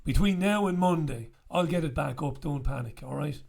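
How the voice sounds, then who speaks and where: Croaky host guy, bit high voice, blunt and sweary, talking into a mic at home. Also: moderate pace, darkish tone. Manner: chatty, blunt, swears freely. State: croaky after illness. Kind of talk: casual direct-to-camera chat. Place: small bright room indoors. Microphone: studio mic on stand in front.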